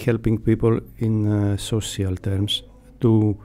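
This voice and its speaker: A middle-aged man with a Greek accent, answering interview questions in a halting, hesitant way. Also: fairly low pitch, level tone, dark tone. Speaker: radio station staff member, interviewee. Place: small foam-padded radio studio. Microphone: close studio mic on boom arm, headphones.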